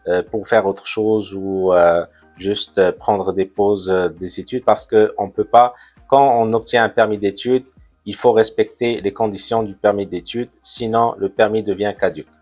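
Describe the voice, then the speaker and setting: A man from Morocco in his forties, an immigration consultant answering interview questions in a measured way, often pausing with fillers. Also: fairly low pitch, fairly even intonation, darkish tone, soft background music. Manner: measured, many hesitations with "euh".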